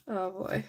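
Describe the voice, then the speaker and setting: A young woman hosting a casual livestream, chatty and giggly, her voice a little raspy from allergies. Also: mid-range voice, mellow. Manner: chatty, giggly, rambling with many "like"s. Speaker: livestream host. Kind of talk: casual livestream chat while painting a figure. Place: indoors at home.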